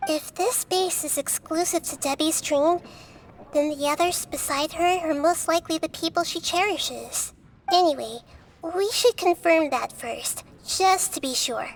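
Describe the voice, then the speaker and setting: A woman voicing a small, childlike goddess in a video game, gentle and wise, with measured, formal wording. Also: very high voice, slight background noise, low-quality recording. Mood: calm, analytical.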